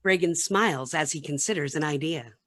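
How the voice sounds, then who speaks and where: A woman's voice: low voice, a little fast, fairly bright tone. A woman reading scripted narration for a radio play, with some background noise.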